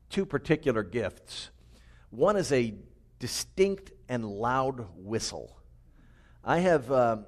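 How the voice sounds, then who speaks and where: A middle-aged man with a loud, resonant voice preaching a sermon in a small chapel over a microphone, telling personal stories with humor. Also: mid-range voice. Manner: lively, personal storytelling with humor. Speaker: pastor.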